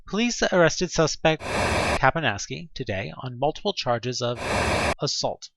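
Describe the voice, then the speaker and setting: A man's voice: mid-range voice, big pitch swings, a little slow, creaky, very noisy. A man reading out a newspaper crime report in a formal, detached manner within a horror audio drama.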